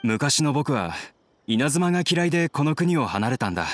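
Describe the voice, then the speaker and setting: A man playing a troubled, regretful, soft-spoken young fugitive in an anime game. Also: a little high, big pitch swings, normal speed, soft background music.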